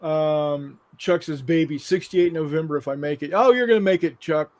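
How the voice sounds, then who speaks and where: A man's voice: on the high side, moderate pace, a little mellow. A man in his fifties chatting casually as a show host, blunt and free with swearing, reading out viewer comments. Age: 50s.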